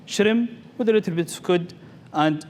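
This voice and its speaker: A cool-headed fisherman father, played by a man speaks in a fairly high voice. He is polite, unruffled and matter-of-fact, a character in a stage tall tale. Faint noise sits behind the voice. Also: moderate pace, a little mellow, natural voice. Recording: noisy live event recording.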